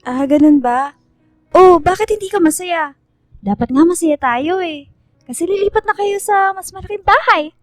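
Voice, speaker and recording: A woman's voice: very high-pitched, normal speed, no room sound, clean studio sound. A woman playing a cheerful, loyal young girl, bubbly and reassuring, in a radio drama. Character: Jerzella, cheerful devoted young girl, loyal best friend. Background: music.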